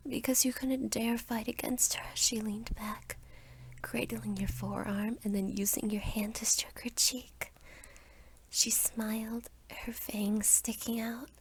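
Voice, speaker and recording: A young adult woman reading a scripted second-person story as ASMR in a soft, whispery voice. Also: on the high side, flat delivery, measured pace, breathy edge. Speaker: roleplay narrator.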